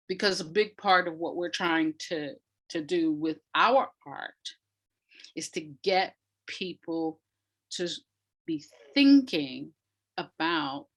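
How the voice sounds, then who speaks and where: A woman with a fairly low voice speaks at a very unhurried pace as an interview guest. She is reflective and emphatic, leaving pauses between phrases as she explains her views conversationally. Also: a bit bright. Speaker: interview guest, arts practitioner.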